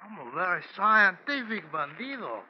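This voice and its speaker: An actor playing a gleeful, mockingly polite Mexican bandit chief in 1940s radio style, taunting and menacing, with a Mexican accent. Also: male voice, high-pitched, sing-song. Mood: smug menace. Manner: taunting, slow, theatrical laughing villain. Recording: dull, noisy 1940s radio recording.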